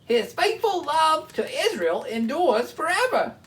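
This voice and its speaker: Animated, high-voiced woman teaching children. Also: unhurried, recorded from a distance. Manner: animated, simple explanations for children. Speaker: children's Bible show host. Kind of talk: children's Bible teaching, chatting with a puppet.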